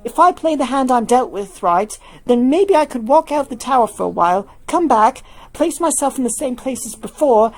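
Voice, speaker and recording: A woman voicing a tired, sarcastic but caring young woman in a fan fiction reading, in a wry, animated way. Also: high voice, moderate pace, few pauses, voice pushed up, low-quality recording. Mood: weary, wry.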